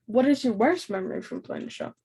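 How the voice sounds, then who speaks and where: A woman's voice: on the high side, huge pitch swings, fast, gravelly, warm tone, slight background noise. Chatty woman interview host. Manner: chatty, laughs easily, slangy. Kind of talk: casual interview.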